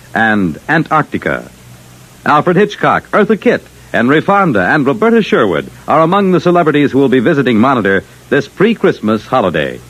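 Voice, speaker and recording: A man's voice: mid-range voice, poor audio. An American man announcing in a dramatic 1950s radio style, reading scripted program copy.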